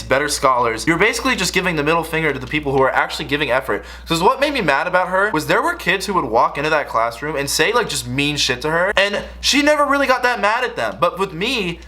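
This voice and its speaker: A young American man telling a casual storytime rant in an exasperated, slangy way. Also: a little high. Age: young adult. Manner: exasperated, animated, slangy delivery. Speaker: video creator telling stories.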